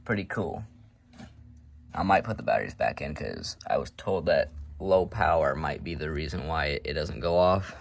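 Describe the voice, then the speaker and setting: A man's voice: rumbling low, lively intonation, moderate pace, creaky. A man giving a casual, unscripted show-and-tell as a hobby collector, talking in an offhand, matter-of-fact way with rambling asides.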